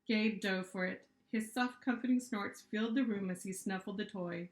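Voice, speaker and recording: A woman reading a children's story aloud as an author, with expressive narration. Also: mid-range voice, normal speed. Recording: echoey room.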